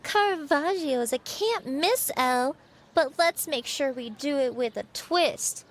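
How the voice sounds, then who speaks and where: A woman's voice: high-pitched, lively intonation, a little slow. A woman playing an unsure, self-doubting seventeen-year-old girl art student in an audio drama, with a youthful, hesitant manner. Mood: weary, exasperated.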